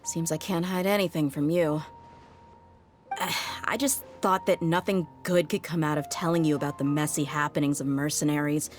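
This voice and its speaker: A low-pitched female mercenary acted by a woman, tough yet easygoing. She talks frankly and casually to friends, anime game style, with music underneath. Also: steady tone, normal speed, close to an everyday voice. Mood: evasive.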